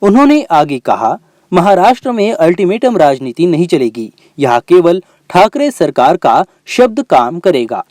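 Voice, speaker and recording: Male news reporter, fairly high voice, very animated intonation, scripted narration. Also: normal speed, dry recording, clean studio sound.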